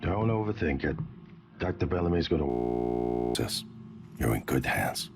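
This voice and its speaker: A man's voice: low-pitched, sing-song, measured pace, creaky, over loud music, exaggerated voice. A man voicing a confident older brother who runs a company in a video game, talking in a familiar, reassuring, persuasive way.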